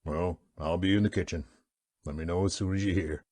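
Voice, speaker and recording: A man's voice: low voice, fairly even intonation, fairly quick, a little mellow, dry recording, studio quality. A man playing a plain, matter-of-fact ship's cook. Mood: offhand.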